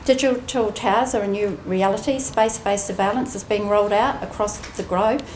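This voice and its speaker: A woman's voice: mid-range voice, a little fast. New woman speechmaking in an echoey room. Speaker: aviation training executive giving a speech. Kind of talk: prepared graduation ceremony speech. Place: indoor ceremony room. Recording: roomy echoing venue, faint background noise.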